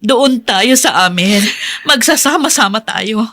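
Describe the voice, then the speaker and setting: A gentle younger sister and mother in a radio drama. Her voice is fairly high, and she speaks slowly, with earnest, tender feeling. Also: lively intonation, a little hiss or hum. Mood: tenderly hopeful. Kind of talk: Filipino radio drama acting.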